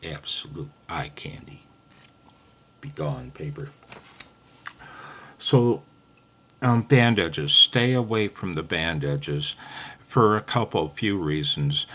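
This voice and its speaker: A man's voice: very deep voice, lively intonation, slow pace, pauses often, bright, forceful tone. A man giving a casual, unscripted hobby tutorial in a rambling, folksy, jokey manner. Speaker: amateur radio hobbyist giving beginner tips.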